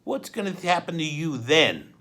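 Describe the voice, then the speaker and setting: A man voicing a sneering, threatening henchman in a storybook read-aloud, with a croaking voice and sharp, emphatic threats. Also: fairly high pitch, sing-song, moderate pace, voice pushed up, heavily acted. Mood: menacing.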